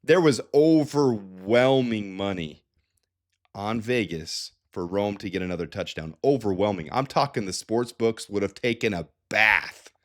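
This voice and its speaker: A man with a fairly low voice and a slight nasal stuffiness from a head cold breaks down team stats for his channel. He talks in an energetic, chatty way, doubling back on himself and throwing in asides as he goes. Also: measured pace. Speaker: sports channel host. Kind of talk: casual stats analysis.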